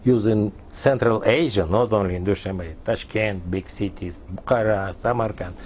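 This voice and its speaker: A man in his sixties from Tajikistan, a Persian speaker, recounting his life in halting, fragmented English in an oral history interview. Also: fairly low pitch, a little mellow, a little hiss or hum. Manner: halting non-native English in short fragments.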